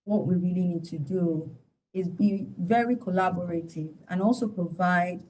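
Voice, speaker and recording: A Nigerian woman giving explanatory interview commentary as an analyst, deliberate and pausing mid-sentence. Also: fairly low pitch, a little mellow, a little hiss or hum, rough recording. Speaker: studio guest analyst.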